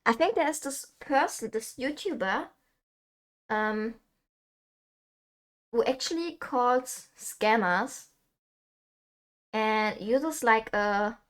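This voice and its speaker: A woman's voice: fairly high pitch, extremely slow, pauses often. A young woman from Germany, chatting casually and laughing often while streaming a game.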